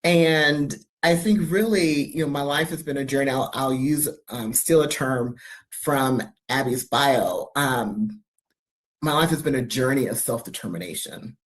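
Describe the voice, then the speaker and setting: A woman from New York City speaking on a university panel, halting and reflective with many fillers. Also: rumbling low. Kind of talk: panel talk at a university event.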